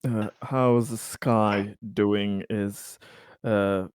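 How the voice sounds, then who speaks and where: A man playing a tabletop roleplaying game, casually narrating a character's plans in halting, hesitant phrases with long pauses. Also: on the low side, steady tone, smooth, no room sound, studio quality.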